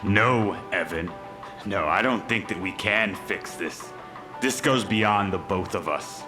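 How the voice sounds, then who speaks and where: An actor playing a hulking, mocking turncoat villain in a dark audio drama, taunting and cold in his delivery. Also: sits low, takes it slowly. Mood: cold, resolute. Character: menacing, mocking hulking male turncoat, superhumanly strong. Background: music.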